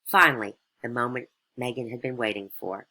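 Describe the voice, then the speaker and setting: Casting: female storyteller for satirical fairytale narration, extremely low pitch, bright forceful tone. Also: fairly quick.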